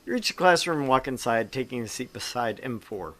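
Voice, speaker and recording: A man's voice: mid-range voice, lively intonation, fairly quick, a bit bright. A man hosting a gaming show, reading a visual novel aloud and bantering casually as he narrates.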